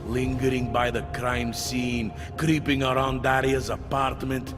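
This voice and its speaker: A man's voice: medium pitch, level tone, measured pace, lightly acted. An actor playing a suspicious, bitter male police detective in a video game, blunt and accusing in delivery. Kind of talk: video game cutscene acting. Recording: loud music underneath. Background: loud music.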